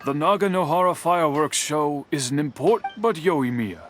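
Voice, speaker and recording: Dignified older male artisan for a game, played by an actor: slightly high pitch, measured, courteous, formal, over background music. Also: expressive, lightly acted. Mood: matter-of-fact. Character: polite, dignified older master craftsman, male. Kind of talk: animated video game voice acting. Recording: music behind the voice.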